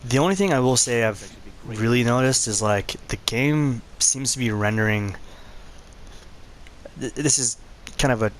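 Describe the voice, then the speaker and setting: A man with a hoarse, worn-out voice hosting a casual gaming vlog, talking in a laid-back, conversational way. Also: mid-range voice, moderate pace, rough recording. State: hoarse, losing his voice. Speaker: channel host.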